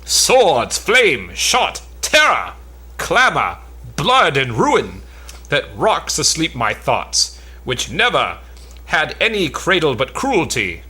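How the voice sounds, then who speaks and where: A man's voice: fairly high pitch, huge pitch swings, takes it slowly, bright, forceful tone, husky, full character voice. A man playing a boastful, bombastic mock-warrior knight in a pompous, declamatory amateur reading of an old stage play.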